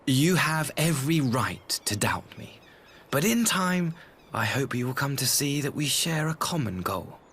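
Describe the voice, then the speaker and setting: An actor playing an earnest, diplomatic imperial idealist in a video game, speaking formally and eloquently in measured phrases. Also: male voice, mid-range voice, big pitch swings, a bit bright, slightly rough, heavily acted. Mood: earnest, persuasive. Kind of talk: video game cutscene acting.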